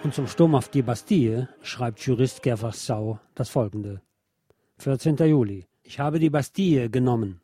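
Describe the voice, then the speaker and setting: Mellow-voiced man narrating history. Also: mid-range voice, moderate pace, husky. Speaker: radio programme narrator. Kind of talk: scripted narration, reading historical accounts.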